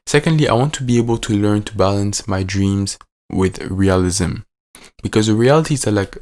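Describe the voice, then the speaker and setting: A man's voice: fairly low pitch, moderate pace, darkish tone. A man at the end of his teens with a French accent, a native French speaker, talking in a casual, rambling way with lots of fillers and restarts. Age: late teens. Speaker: vlogger. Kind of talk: casual reflective vlog.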